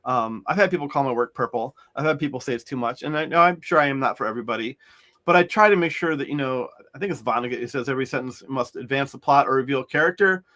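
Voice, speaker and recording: A man's voice: medium pitch, fast talker, bright, forceful tone. A middle-aged man, an author, talking in a loose, rambling way with many restarts as an interview guest. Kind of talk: conversational interview.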